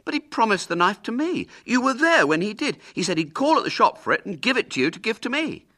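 Man voicing an earnest, high-pitched, indignant schoolboy. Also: very animated, a little fast, pushed and bright, no echo, studio quality, heavily acted. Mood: indignant, desperate. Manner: blurting, insistent protests.

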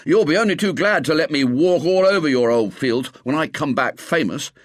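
A man's voice: mid-range voice, sing-song, normal speed, fairly bright tone, dry recording, studio quality, voice pushed up. A man voicing an earnest, stubborn schoolboy adventurer from a storybook, stolid and self-important. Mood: defiant. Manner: earnest, stolid, boyish slang.

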